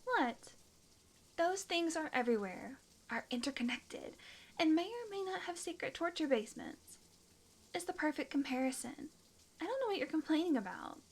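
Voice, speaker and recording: A sly female vampire, acted by a woman for an intimate audio roleplay. Her voice sits high and she talks in a sarcastic, flirtatious way, slipping into soft murmurs. She sounds hungry, cheeky and defiant. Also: sing-song, moderate pace. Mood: sardonic, teasing. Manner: flirtatious, sardonic, dropping into breathy murmurs.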